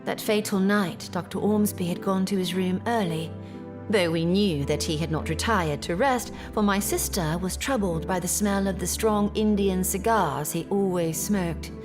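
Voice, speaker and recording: An actress playing a troubled young gentlewoman in an audio drama, telling her story in a measured, formal, earnest way. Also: medium pitch, over music, close to an everyday voice. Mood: grave recollection.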